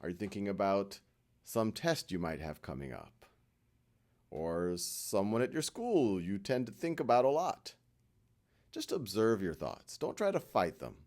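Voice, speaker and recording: A man leading a guided meditation in a gentle, reassuring manner, leaving frequent pauses between short instructions. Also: fairly low pitch, very animated.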